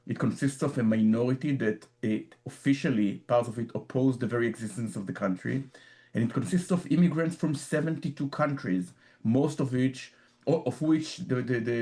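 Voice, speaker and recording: A man with an Israeli accent speaks in a warm, dark register. He answers at length in a radio interview, restarting phrases and repeating small words as he thinks. His delivery is earnest and explanatory. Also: medium pitch. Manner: halting, repeats words while searching for phrasing. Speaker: author guest. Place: in Jerusalem, remote line.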